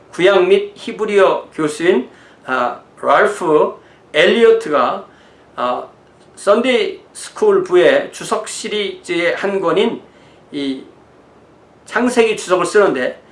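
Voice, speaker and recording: A man in his sixties with a slightly high voice lectures as a pastor. He explains things conversationally, moving between notes and informal asides. The sound is roomy and echoing. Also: animated, slow. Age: 60s. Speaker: pastor teaching church history.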